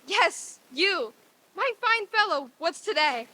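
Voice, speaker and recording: A woman's voice: very high voice, animated, takes it slowly, pushed and bright, full character voice. A woman playing a reformed, overjoyed old miser in a theatrical old-time radio drama reading, with exclamatory delivery. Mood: giddy delight.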